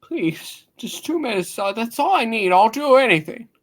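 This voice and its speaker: Radio play husband and father, played by a woman: desperate, pleading, higher-pitched, highly animated delivery. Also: normal speed. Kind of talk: hammed-up live radio play reading.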